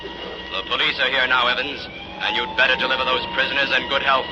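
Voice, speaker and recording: A man's voice: medium pitch, completely flat delivery, fairly quick, natural voice. An actor playing a firm, menacing invisible male crime-fighter in a golden-age radio drama. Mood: commanding. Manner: commanding, firm. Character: unseen, commanding male masked avenger, cool and menacing. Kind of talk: 1940s radio acting. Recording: muffled, noisy old radio recording.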